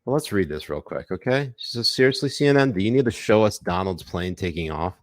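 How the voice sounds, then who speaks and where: A man's voice: fairly low pitch, expressive, brisk, no echo, studio quality. A man co-hosting a podcast, chatting casually about politics with sarcastic, mock-serious remarks. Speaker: podcast co-host.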